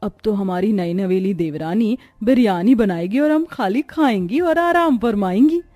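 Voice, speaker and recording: A woman's voice: mid-range voice, lively intonation, normal speed, dark tone, no room sound, faint music behind, close to an everyday voice. A woman voicing a sly, lazy, gossipy elder sister-in-law in a cartoon story, with a catty, gloating manner.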